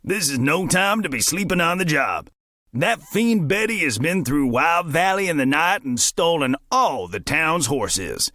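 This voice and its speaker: A man's voice: on the high side, very animated, measured pace, bright, forceful tone, no room sound, clean studio sound, heavily acted. A man voicing an exasperated cowboy sheriff. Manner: exasperated, emphatic. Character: bearded male Wild West sheriff, exasperated.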